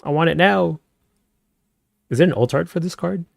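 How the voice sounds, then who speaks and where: A man chatting casually with viewers on a live stream, speaking softly and keeping his voice down at night at home, and laughing often. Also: moderate pace, vocal fry, dry recording, clean studio sound. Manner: soft-spoken, keeps voice low at night.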